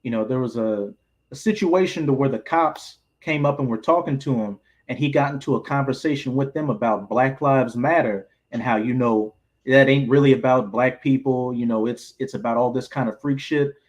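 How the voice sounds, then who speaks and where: A man's voice: mid-range voice, normal speed. A man leading an informal group Bible study, explaining scripture in colloquial, streetwise language.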